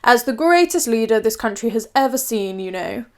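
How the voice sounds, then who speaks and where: A woman's voice: fairly high pitch, lively intonation, moderate pace, darkish tone. A woman voicing a pompous, self-absorbed male British prime minister who prattles on self-importantly in a storybook. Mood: boastful.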